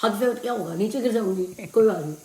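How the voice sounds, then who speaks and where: A woman from India with a naturally husky voice, hoarse and strained today, chatting casually to camera as a family vlogger and laughing often. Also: medium pitch, fast, warm tone, roomy sound.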